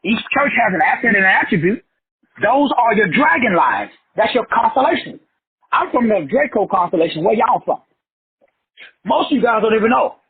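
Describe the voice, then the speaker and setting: Fervent, high-pitched man, raspy. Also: sing-song, moderate pace, phone-quality sound. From South Texas. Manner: fervent, profane, preachy. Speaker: motivational teacher. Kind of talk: motivational class lecture.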